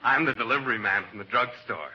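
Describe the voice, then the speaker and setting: A man's voice: mid-range voice, expressive, fast, natural voice. An actor playing an effusive, melodramatic, dim delivery man in a 1940s radio comedy. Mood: eager, sunny. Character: gushingly happy, dim drugstore delivery man. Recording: muffled, noisy old radio recording.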